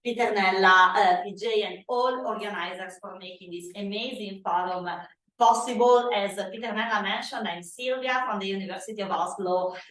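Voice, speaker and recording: Woman, Italian accent, keynote speaker, loud and lively, roomy echo, distant mic. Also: medium pitch, moderate pace. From Italy. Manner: animated, loud, self-deprecating asides. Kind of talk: conference keynote talk. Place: conference hall. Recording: echoey hall from afar.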